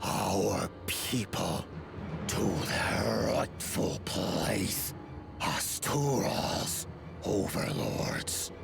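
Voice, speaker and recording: An actor voicing a proud, grim giant conqueror in a video game, with gravelly, solemn, halting speech. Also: male voice, sits low, animated, background noise, exaggerated voice. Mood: proud, scornful. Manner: slow, halting, solemn, archaic phrasing.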